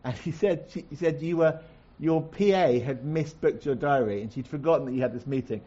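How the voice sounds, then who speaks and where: Man hosting a stage chat show, chatty and halting, mellow voice, slightly dull lo-fi sound. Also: fairly high pitch. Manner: chatty, halting, many restarts. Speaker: host of a chat-show-style event. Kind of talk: live chat show interview. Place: stage before a live audience.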